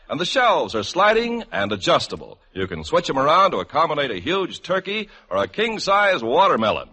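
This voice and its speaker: American radio announcer guy from the 50s, very animated pitch, a bit husky, formal and punchy. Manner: polished, emphatic, formal delivery. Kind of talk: 1950s American radio announcing and commercial reading. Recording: 1950s radio broadcast, music behind.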